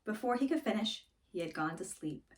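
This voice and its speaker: A woman reading a children's story aloud in an expressive, dramatic storytelling manner. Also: mid-range voice, a little fast, distant mic. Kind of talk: scripted storybook read-aloud.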